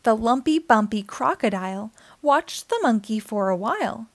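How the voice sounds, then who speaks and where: A woman reading a children's picture book aloud as a storytime host, in an expressive scripted style. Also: a little high, normal speed, no echo.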